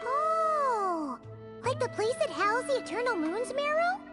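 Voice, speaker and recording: A woman voicing a small, childlike, excitable fairy companion in a video game, blunt and full of exclamations. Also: very high-pitched, takes it slowly, exaggerated voice. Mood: excited. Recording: music playing behind the voice.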